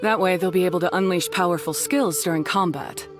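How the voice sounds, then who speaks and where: A woman's voice: on the low side, brisk, music in the background, close to an everyday voice. A woman playing a practical, no-nonsense young female beast tamer in a video game, speaking in a matter-of-fact, instructive way.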